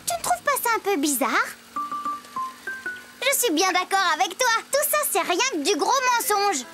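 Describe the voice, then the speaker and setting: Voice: extremely high pitch, big pitch swings, normal speed. A performer voicing a small, playful child storyteller in a children's cartoon, talking in a teasing, conspiratorial way. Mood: indignant. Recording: loud children's music behind the voice.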